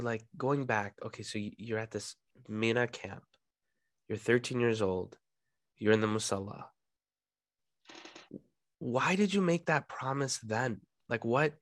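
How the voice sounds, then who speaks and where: A male podcast host asking questions in a casual, playful way. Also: medium pitch. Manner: playful, jokey interjections. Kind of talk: casual interview talk.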